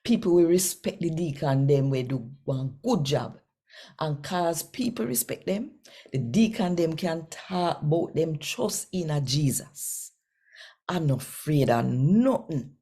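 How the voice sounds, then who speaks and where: A woman's voice: low voice, animated, a little slow, slightly rough. A woman with a Jamaican accent, reading scripture aloud and chatting to her viewers in a folksy, conversational way. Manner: warm, folksy, conversational Creole phrasing. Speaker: scripture reader. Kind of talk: daily scripture reading and casual address.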